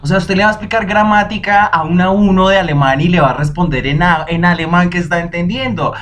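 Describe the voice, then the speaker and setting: A young man hosting a solo podcast, talking in a lively, emphatic way and dropping in foreign phrases. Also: sits high, fast. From Colombia. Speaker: podcast host.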